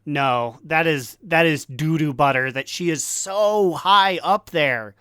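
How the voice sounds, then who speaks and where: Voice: medium pitch, takes it slowly, fairly bright tone, clean tone, no room sound. Podcast co-host, clean resonant voice, close dry recording, wry casual gaming banter, emphatic.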